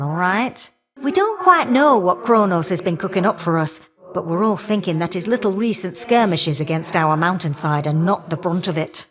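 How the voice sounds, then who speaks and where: A sassy old flame goddess for a game, folksy n teasing, pitch bouncing all over. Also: female voice, fairly bright tone. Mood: concerned, musing. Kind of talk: video game character dialogue.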